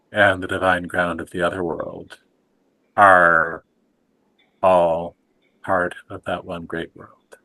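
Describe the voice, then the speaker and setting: A middle-aged man speaking slowly and softly in a reflective, contemplative conversation, his voice trailing off at the ends of phrases. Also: deep voice, rough recording. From United States. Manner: slow, soft and contemplative, trailing off quietly. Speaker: guest teacher.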